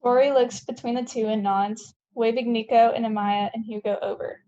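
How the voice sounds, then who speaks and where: A woman's voice: on the high side, steady tone, normal speed, mellow, highs cut off. A woman reading scripted stage directions at a table read, plainly and with the odd stumble.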